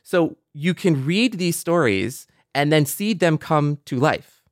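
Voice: medium pitch, lively intonation, slow, dry recording, clean studio sound. A panelist talking casually and earnestly in a discussion, often repeating points for emphasis. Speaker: podcast panelist. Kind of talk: casual panel discussion about science fiction.

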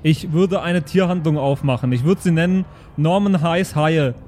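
A man's voice: a little high, normal speed, a little mellow, slight background noise, close to an everyday voice. A man playing an eager, naive son who chatters insistently at his papa in an improvised tabletop game.

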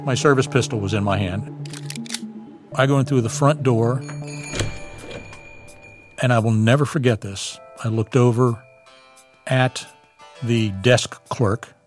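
A man at an ordinary middle pitch tells his story plainly and vividly, in the first person. Clearly audible music runs beneath his voice throughout. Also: fairly even intonation, measured pace, pauses often. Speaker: former embassy security agent. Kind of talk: recounting events in an interview. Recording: clear music bed underneath.

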